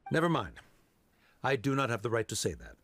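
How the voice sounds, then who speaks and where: A man's voice: mid-range voice, big pitch swings, a little fast, fairly bright tone, no room sound. An actor playing a stern, formal middle-aged father in a video game, stiff and hesitant in his speech. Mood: guarded. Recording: music faintly behind.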